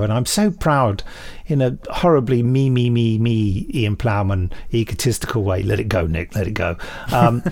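A man's voice: mid-range voice, vocal fry. A man hosting a podcast in casual banter, self-mocking and enthusiastic as he introduces audio drama. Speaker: podcast host.

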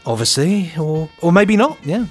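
Easygoing, higher-voiced male podcast co-host. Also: very animated, faint music behind. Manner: jokey, easygoing, quick to laugh. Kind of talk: casual podcast chat.

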